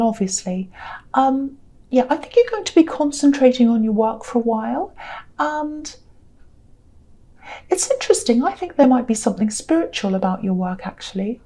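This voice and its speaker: A woman with a slightly high, mellow voice that sounds tired and croaky. She gives a tarot reading in a gentle, reassuring tone. She rambles casually and uses plenty of fillers. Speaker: tarot reader.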